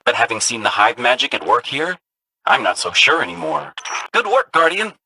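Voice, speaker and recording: An actor playing a chirpy, nerdy robot companion with an excitable, swooping delivery. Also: male voice, medium pitch, normal speed, creaky, husky, dry recording, full character voice, low-quality recording. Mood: excited.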